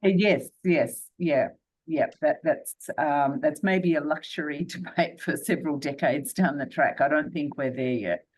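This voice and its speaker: A woman's voice: low-pitched, measured pace, low-quality recording. A woman academic speaking fluently and emphatically on a panel over a video call. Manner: fluent, emphatic, many fillers and restarts. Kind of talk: panel discussion.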